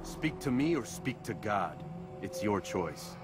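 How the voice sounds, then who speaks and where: An actor playing a stern, confident young assassin in a video game cutscene, speaking in a terse, cool and formal manner. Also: male voice, lowish voice, sing-song, slow, over music.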